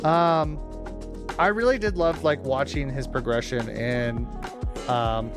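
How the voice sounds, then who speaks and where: A man livestreamer talking casually to his chat, informal and teasing, with some swearing. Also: fairly high pitch. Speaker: livestreamer hosting a watch-along.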